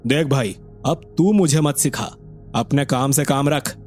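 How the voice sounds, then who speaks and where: Male performer as selfish young village man, mid-pitched, brusque, complaining, impatient, moral story dialogue, light music behind. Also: unhurried, darkish tone, lightly acted. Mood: irritated.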